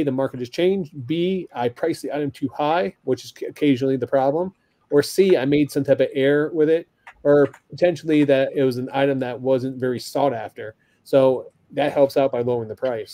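A man's voice: medium pitch, warm tone. A man co-hosting a live panel chat in a casual way, speaking to his listeners as a group. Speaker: podcast co-host.

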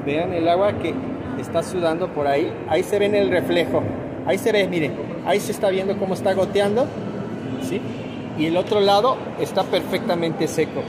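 Upbeat man over loud fair noise. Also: sits high, a little fast. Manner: enthusiastic, pointing things out to viewers. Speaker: vlogger, host. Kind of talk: casual demo chat at a trade fair. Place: busy trade fair hall. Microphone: handheld phone camera. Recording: loud crowd noise around.

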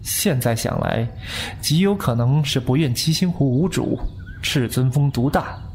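A man playing a composed, courteous martial-arts lord in an audio drama, measured and scheming. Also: mid-range voice, expressive, a little mellow, a little hiss or hum. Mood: reflective. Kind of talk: Mandarin audio drama acting. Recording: background music under voice.

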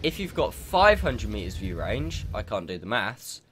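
A man's voice: on the low side, very animated, moderate pace, fairly bright tone, soft background music. A young man with a stuffy nose from a cold, casually commenting over a game replay and explaining tactics with lots of ums. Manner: rambling, explanatory, many ums. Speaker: gaming channel host commenting a replay.

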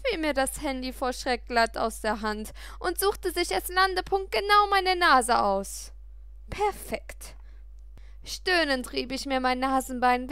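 A woman reads a story aloud in the first person with an expressive delivery. Her voice is high and bright, and the sound is dry and close. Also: dry recording. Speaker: audiobook narrator.

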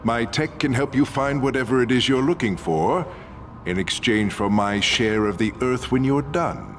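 An actor voicing a smooth, calculating male tycoon villain in a video game. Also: mid-range voice, lively intonation, moderate pace, natural voice. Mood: scheming. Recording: game audio over music.

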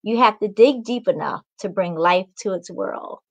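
A woman's voice: mid-range voice, normal speed, creaky, background noise. A young woman, a children's author, reading and speaking to children in an encouraging way. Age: young adult. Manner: encouraging, addresses children directly. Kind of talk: storybook reading and talk to children. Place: small indoor room at home. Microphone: video call microphone.

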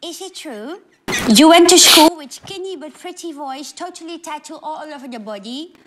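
A woman playing a naive, mischievous Japanese interviewer who speaks halting, broken English with a Japanese accent and repeats herself in mock seriousness. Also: high-pitched, lively intonation, fairly bright tone. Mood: insistent. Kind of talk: comic prank interview. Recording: very noisy, muddy background.

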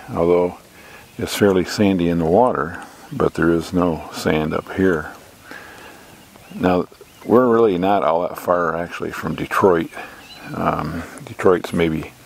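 A middle-aged man giving a casual, unscripted walk-through in a matter-of-fact way with hesitant pauses, talking outdoors from behind a handheld camera. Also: low voice, creaky, slight background noise. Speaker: campground reviewer and vlogger. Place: outdoors at a lakeside campground. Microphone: handheld camera mic, operator off screen.

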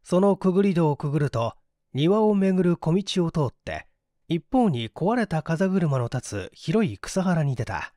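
Expressive male narrator with lively pitch. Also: a little slow, dry recording, clean studio sound. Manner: dramatic reading, acting out dialogue. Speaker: audiobook reader, stage actor. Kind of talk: scripted narration of a mystery novel.

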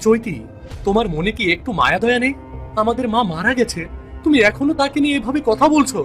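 A man's voice: extremely high pitch, expressive, fast talker. A man voicing a protective, exasperated younger brother in a cartoon family drama, speaking emphatically. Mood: angry. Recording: loud music under the voice.

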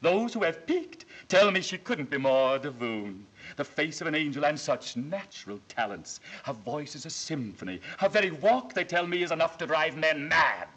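A man's voice: fairly high pitch, animated, moderate pace, few pauses, fairly bright tone, husky, low-quality recording. A man playing a gushing Hollywood gossip announcer in an old film, delivering florid newsflash hype. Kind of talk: 1930s newsflash narration.

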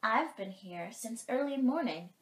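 A calm, matter-of-fact muskrat from a children's storybook, voiced by a woman. It speaks slowly and surely in a fairly high voice, with room echo. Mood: assured. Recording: roomy, echoing space.

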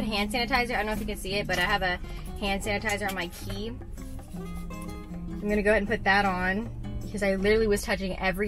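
Chatty young woman vlogging from her car. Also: medium pitch. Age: young adult. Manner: chatty, upbeat, frequent "like" fillers. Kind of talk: casual drive-along vlog chat. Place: inside a parked car. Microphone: camera on the dashboard.

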